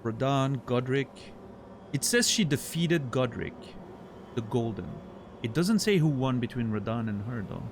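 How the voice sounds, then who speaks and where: A man's voice: medium pitch, animated, unhurried, a little hiss or hum. A man with a French accent, French his first language, chatting casually on a livestream while he plays, thinking aloud and answering chat with playful mock outrage. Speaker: streamer. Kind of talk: casual livestream gameplay commentary.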